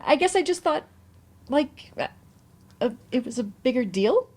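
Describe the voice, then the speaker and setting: A woman's voice: sits high, very animated, a little slow, a little mellow, slightly rough, exaggerated voice. A woman acting a hesitant, affectionate young woman confiding in a friend, in a conversational staged play reading. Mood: relieved, affectionate.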